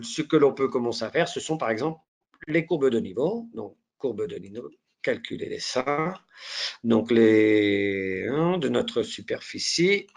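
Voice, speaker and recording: A man with a head cold giving a live online software demonstration, talking in a hesitant way with many fillers and restarts. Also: medium pitch. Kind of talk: live software demo walkthrough.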